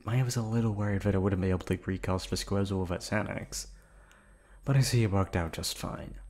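Male actor doing a low, slow-talking yandere receptionist, silky courtesy over menace, close-mic whispers. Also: a little mellow. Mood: sinister. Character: obsessive male yandere hotel receptionist kidnapper. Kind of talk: ASMR roleplay audio drama.

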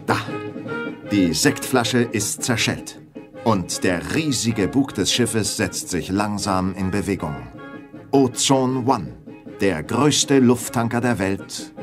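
Low-pitched, ceremonious event reporter. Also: male voice. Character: male radio reporter announcing a grand ship launch. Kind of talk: radio drama live event commentary. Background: music under the voice.

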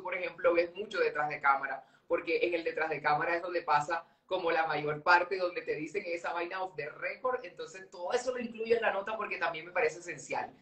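A man's voice: sits high, a bit bright, far from the mic. A middle-aged man with a Colombian accent, chatting easily as a guest on a live video call and laughing often. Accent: Colombian Spanish. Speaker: guest presenter. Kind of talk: casual live interview. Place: home office with cabinets. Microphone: webcam on video call. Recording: roomy sound from the mic.

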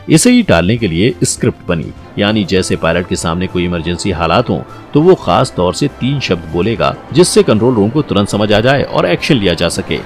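Low-voiced man narrating an explainer, lively intonation. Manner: explanatory, addresses the listener directly. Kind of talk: scripted documentary-style narration. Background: background music.

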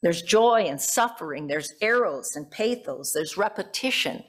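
A woman's voice: fairly low pitch, lively intonation, a little slow. A middle-aged American woman delivering a reflective sermon from a church pulpit, phrase by phrase, putting questions to the congregation. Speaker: pastor preaching. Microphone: gooseneck pulpit microphone.

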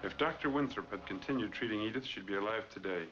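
An actor playing a guarded, scheming middle-aged husband in a 1940s film drama. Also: male voice, on the low side, quick pace, music in the background, close to an everyday voice. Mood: indignant. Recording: muffled, hissy 1940s film soundtrack.

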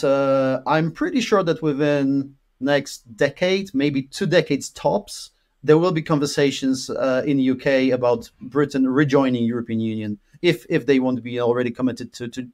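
A man's voice: medium pitch, a little mellow. A man in his thirties, from Poland, talking as a guest in an interview over a video call from a room at home. He rambles, with lots of fillers and repeated words. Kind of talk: casual interview conversation. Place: room at home with an orange wall.

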